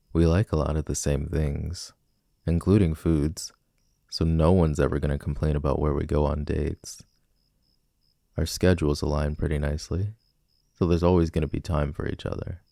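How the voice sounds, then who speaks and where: A man acts out a smug villain wooing a civilian in a romantic ASMR roleplay. His voice is extremely deep and mellow, with a gravelly fry. He teases and persuades in a casual, cocky way, close to the mic. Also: no echo, full character voice. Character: flirty, cocky male villain smitten with a civilian.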